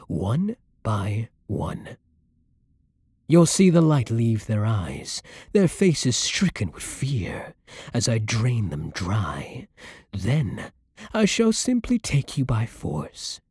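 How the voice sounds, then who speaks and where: Guy doing a sadistic vampire lord asmr, husky n breathy, super dry close sound, theatrical and menacing, seductive. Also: mid-range voice, darkish tone, no room sound, heavily acted. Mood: threatening. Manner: slow, theatrical, silky condescension with dropping murmurs. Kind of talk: ASMR roleplay, scripted monologue to the listener.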